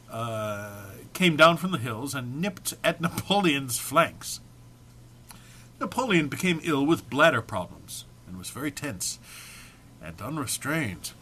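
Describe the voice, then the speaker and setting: A man's voice: on the high side, sharp tone, a little hiss or hum. A man giving a dramatic comic reading of a humorous text, mock-serious in delivery with dry muttered asides. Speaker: reader.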